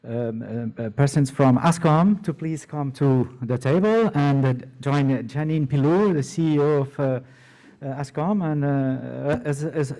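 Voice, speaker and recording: A man with a Portuguese accent moderating a conference session, halting with many fillers and restarts. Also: medium pitch, warm tone. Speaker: event moderator. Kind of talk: hesitant conference hosting.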